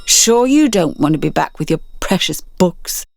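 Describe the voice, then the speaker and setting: A woman's voice: on the low side, very animated, moderate pace, gravelly, no room sound, studio quality, soft background music, heavily acted. A woman reading a story as a cheeky, sceptical teenage boy given to wry teasing. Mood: needling.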